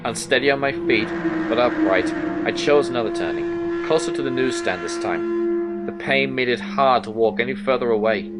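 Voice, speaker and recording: An actor playing a shaken, anxious investigator who narrates a horror audio drama in deliberate, suspenseful short phrases. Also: male voice, medium pitch, background noise, natural voice.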